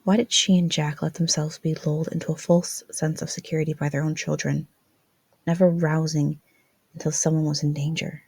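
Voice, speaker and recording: A woman narrates a story in a low voice with plenty of creak. The delivery is scripted and dramatic, and the sound is dry and close. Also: level tone, a little fast, a little mellow, dry recording. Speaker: fan fiction reader.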